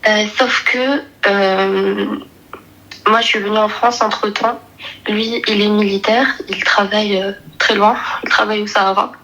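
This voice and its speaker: A woman's voice: medium pitch, fairly even intonation, a little slow, sharp tone, low-quality recording. A woman in her twenties calling in for advice, telling a personal story hesitantly and searching for her words. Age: 20s. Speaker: caller seeking advice. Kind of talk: personal story told in casual conversation.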